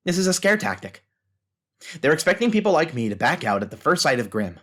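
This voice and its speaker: A man's voice: a little high, very animated, fairly quick, fairly bright tone. A man playing a thoughtful, self-doubting teenage novice fighter in an audio drama, earnestly reasoning things out. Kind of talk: fan audio drama acting.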